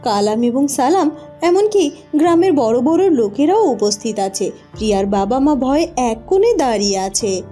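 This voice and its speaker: A woman's voice: high voice, expressive, normal speed, dark tone, soft background music. A woman giving scripted storybook narration for a cartoon folk tale.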